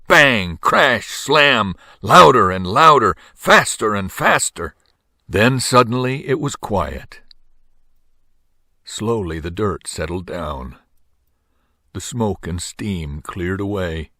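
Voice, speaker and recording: Older man reading a storybook, very animated. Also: on the low side, no room sound, clean studio sound. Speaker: storybook reader. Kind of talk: expressive read-aloud of a children's story.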